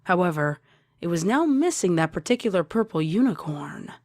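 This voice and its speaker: A woman's voice: fairly low pitch, sing-song, moderate pace, darkish tone, dry recording, studio quality. A woman narrating a scripted story as a fan fiction reader. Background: music.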